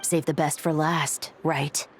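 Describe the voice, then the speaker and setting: Stern, low-voiced woman officer. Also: level tone, slow, slight background noise. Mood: cool threat. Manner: crisp, matter-of-fact, commanding. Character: stern, confident young woman special-forces officer. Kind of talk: video game character dialogue.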